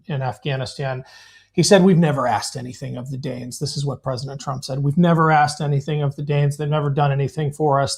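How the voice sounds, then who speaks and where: Earnest veteran man, raised voice. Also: fairly high pitch. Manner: earnest, emphatic. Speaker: guest, veteran. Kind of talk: live remote interview.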